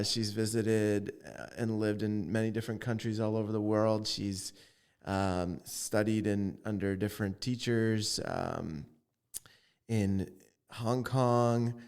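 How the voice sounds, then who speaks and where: A man's voice: lowish voice, clean tone. A man born in Russia hosting a podcast, friendly and a bit hesitant, with frequent ums. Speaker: podcast host. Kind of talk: casual interview.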